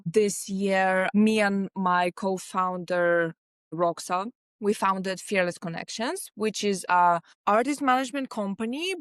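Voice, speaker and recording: A woman speaks in a smooth, clean voice at an everyday middle pitch. She answers interview questions earnestly, piling up points in long lists. The sound is dry and close. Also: no echo. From Lithuania. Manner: earnest, talks in long lists with many likes. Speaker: artist manager, guest.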